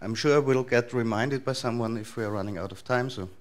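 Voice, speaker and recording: A man in his thirties with an Austrian accent, giving a relaxed, loosely structured talk to a conference audience in a large lecture hall. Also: mid-range voice, brisk. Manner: relaxed, thinking aloud with frequent fillers and restarts. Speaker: conference speaker.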